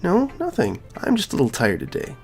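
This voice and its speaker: A man's voice: a little high, wildly swooping pitch, a little fast, darkish tone, soft background music, pitched above the performer's own voice. A man voicing a teenage girl from a visual novel, self-effacing and putting cheer over sadness. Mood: brightly dismissive.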